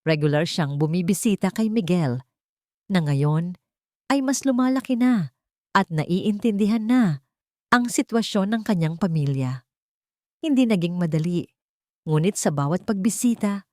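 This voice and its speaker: A Filipino woman narrates a scripted love drama in a slightly low voice. Her intonation rises and falls expressively while the delivery stays measured and emotive. The recording is dry and close, with no room sound. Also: darkish tone, no echo. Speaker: story narrator.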